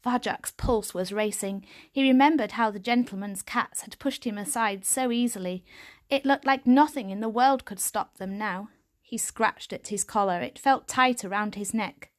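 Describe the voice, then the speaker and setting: A woman's voice: medium pitch, normal speed. A woman reading a children's audiobook as a dramatic scripted narration. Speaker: audiobook reader.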